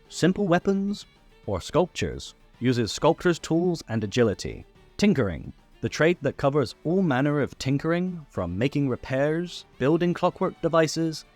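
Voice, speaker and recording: A man's voice: mid-range voice, darkish tone. A man hosting a scripted tabletop game rules explainer, listing things step by step. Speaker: tutorial host. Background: background music.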